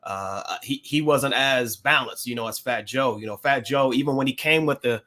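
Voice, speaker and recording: A man's voice: mid-range voice, moderate pace, sharp tone. An American man giving casual hip-hop commentary as a panelist, explanatory and slangy. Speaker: podcast panelist.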